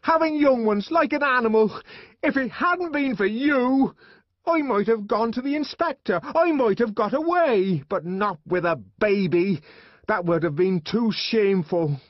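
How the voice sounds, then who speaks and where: A man voicing a distraught, bitter and volatile mother in an audiobook, with a strained, shrill voice. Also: measured pace, no echo, muffled recording. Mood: furious. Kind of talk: audiobook character voice.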